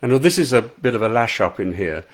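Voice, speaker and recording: A man's voice: fairly low pitch, big pitch swings, a little fast, slightly rough. Soothing-voiced man chatting live. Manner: chatty, self-deprecating, reads out viewer comments. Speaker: author reading and answering live comments. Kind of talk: casual live-stream chat. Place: small untreated room at home. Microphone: high quality microphone.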